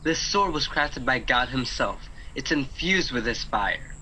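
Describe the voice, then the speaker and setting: Earnest, bright-voiced guardian angel played by a man. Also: medium pitch, expressive, unhurried, slight background noise, poor audio. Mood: wary, defiant. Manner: hesitant, earnest. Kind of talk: radio play acting.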